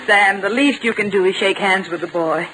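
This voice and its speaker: A woman playing a proper, gently scolding middle-aged mother in a 1940s radio comedy. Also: medium pitch, normal speed, lightly acted. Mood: fussing, polite. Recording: vintage 1940 radio with audience noise.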